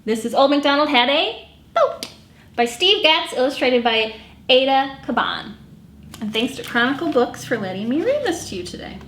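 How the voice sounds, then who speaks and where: A woman hosting a children's story time, reading and singing picture books in an animated way and acting out the sounds. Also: high-pitched, normal speed, reverberant, a little hiss or hum. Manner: animated, acts out animal sounds and song refrains. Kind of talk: sung picture-book read-along for children.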